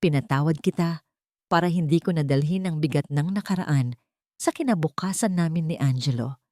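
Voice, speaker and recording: A woman's voice: sits low, normal speed, darkish tone, no echo, studio quality. A woman reading a scripted first-person dramatic story as an audiobook narrator.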